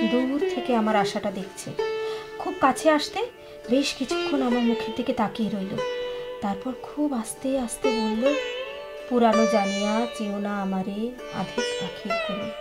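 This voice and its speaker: A woman's voice: fairly high pitch, sing-song, unhurried. An actress in a Bengali audio drama playing a wistful, unhappy wife of a rich businessman, emotional and confiding. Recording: studio drama with sitar music behind.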